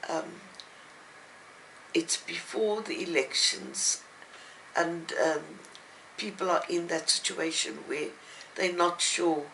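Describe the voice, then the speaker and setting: An older woman speaking as an interviewed writer, reflective and halting, with thoughtful pauses. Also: on the low side, creaky, a bit bright, a little hiss or hum, poor audio. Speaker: novelist and playwright being interviewed. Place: at home, indoors.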